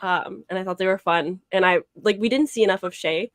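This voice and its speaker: A woman with a medium-pitched voice chats casually as a guest in a book discussion. She is on a video call, and her sound is dry and free of echo. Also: brisk. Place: indoor room with bookshelves, video call.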